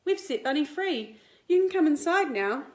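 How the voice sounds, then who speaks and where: A woman's voice: high-pitched, animated, moderate pace, darkish tone, pitched above the performer's own voice. A woman voicing a kind, eager little boy in a picture book. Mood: reassuring. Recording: roomy indoor echo.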